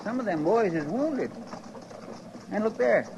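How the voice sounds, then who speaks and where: High-voiced, folksy frontier sidekick. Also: male voice, lively intonation, measured pace, creaky, heavily acted. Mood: curious, sympathetic. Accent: rural American. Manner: drawling, folksy, chatty. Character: folksy, talkative frontier sidekick, simple and curious. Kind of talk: 1950s radio western acting. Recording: noisy old radio recording.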